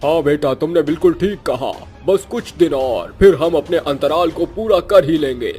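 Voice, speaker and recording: Nomad ghost dad and street showman, acted by a man, high-voiced, children's story with light music. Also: expressive, moderate pace, mellow, close to an everyday voice. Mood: pleased. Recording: music under the voice.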